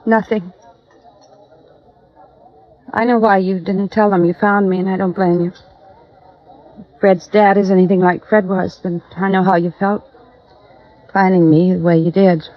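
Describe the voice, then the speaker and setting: A woman's voice: mid-range voice, little pitch movement, a little slow, pauses often, close to an everyday voice. An actress playing a guarded, world-weary widow, down on her luck and defiant, in a 1950s radio noir drama. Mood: hesitant, earnest. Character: bitter, tough, down-and-out young widow. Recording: dull, dry vintage radio recording.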